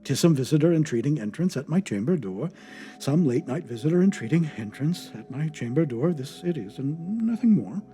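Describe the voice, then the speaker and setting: A man acts out a haunted male scholar from a gothic poem, grieving and anxious. The voice is husky and mellow, with courtly, hesitant phrasing that swings widely in pitch over music. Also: lively intonation, fairly quick, close to an everyday voice. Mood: startled, hesitant.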